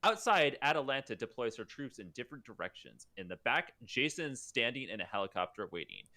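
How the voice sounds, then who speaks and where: A man's voice: medium pitch, sing-song, a little fast, bright, forceful tone. A man co-hosting a live comedic table read, reading stage directions aloud and stopping to joke. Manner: reads stage directions aloud, breaking off for jokes. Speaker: podcast co-host.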